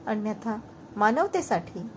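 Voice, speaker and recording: A woman voicing an earnest, principled male scientist on the run in a storybook tale, speaking in a measured way. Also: mid-range voice, sing-song, a little hiss or hum. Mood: solemn. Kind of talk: storybook narration dialogue. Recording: music under the voice.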